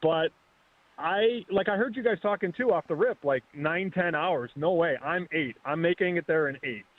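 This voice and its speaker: A man in his thirties calling in as a betting guest, talking fast and casually, often restarting his words. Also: a little high. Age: 30s. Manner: quick, restarts words, chatty. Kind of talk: casual sports betting chat. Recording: phone line.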